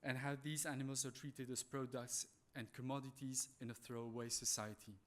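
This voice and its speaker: Halting man with a French accent. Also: mid-range voice, level tone, slight background noise. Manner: halting, polite and apologetic. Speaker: conference speaker, animal welfare manager. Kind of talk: conference presentation.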